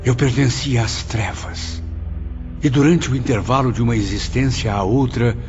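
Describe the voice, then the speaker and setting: A man's voice: mid-range voice, normal speed, close to an everyday voice. A man playing a bitter, wretched sinner, confessing formally and dramatically in an audiobook. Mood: bitter confession. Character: bitter, wretched male sinner confessing his evil past. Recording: muffled, under loud music.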